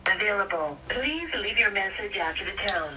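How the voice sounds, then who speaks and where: A woman's voice reads an automated phone system announcement. Her pitch is middling for a woman and moves in lively swings, heard as a voicemail prompt played over a telephone. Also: moderate pace, low-quality recording. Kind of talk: recorded phone menu announcement.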